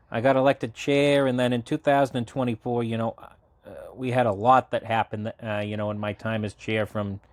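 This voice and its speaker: A man's voice: lowish voice, a little mellow, dry recording. A man in his late twenties from Massachusetts, a guest in a casual podcast interview, talking at length with many fillers and restarts. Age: 20s. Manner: talkative, rambling, frequent fillers and restarts. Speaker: podcast guest, local party committee chair.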